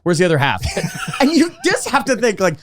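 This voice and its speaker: A man with a slightly high voice that swings widely as he talks. He tells stories like a podcast co-host in casual, comedic chat, slipping into mimicked asides along the way. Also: fairly quick. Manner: animated storytelling with mimicked asides.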